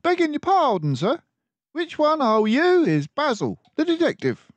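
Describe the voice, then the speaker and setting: A shabby, tubby mouse messenger from a storybook. The voice is pitched very high and jumps about, timid and deferential, like a humble working man afraid to say too much. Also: huge pitch swings, a little slow, dry recording, muffled recording, exaggerated voice. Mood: nervous, deferential. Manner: timid, deferential, rustic phrasing.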